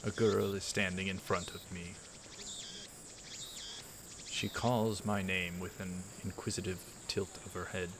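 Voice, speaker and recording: A man hosting a let's play, reading a visual novel aloud with casual asides in a halting, measured way. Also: low-pitched, flat delivery, noisy background.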